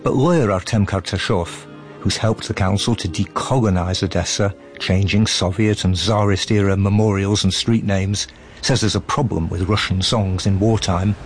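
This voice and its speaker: A man's voice: low-pitched, steady tone, normal speed, soft background music. A man narrating a scripted radio news report as a correspondent.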